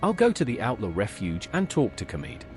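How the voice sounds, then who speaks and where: Earnest questing hero, played by a man, mid-pitched with very lively intonation, fantasy game, music behind. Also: a little fast. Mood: curious. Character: earnest, helpful male adventurer hero. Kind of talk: AI-voiced fantasy game dialogue.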